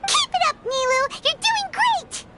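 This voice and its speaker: A woman voicing a tiny, excitable fairy companion in a video game, with a squeaky childlike voice. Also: a little slow, very noisy, heavily acted. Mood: cheering. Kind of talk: English game voice acting.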